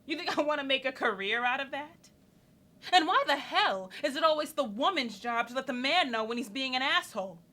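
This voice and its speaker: A woman's voice: high voice, fast, few pauses, sharp tone. An actress playing a sarcastic, ambitious young woman scolding her partner in a theatrical monologue, cutting, articulate and wry. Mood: exasperated.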